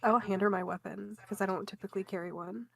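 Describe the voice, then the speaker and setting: A woman's voice: medium pitch, fairly even intonation, quick pace, gravelly, fairly bright tone, no room sound, studio quality. Female tabletop roleplayer, middle register, casual delivery, part table talk, part in-character lines. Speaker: tabletop game player.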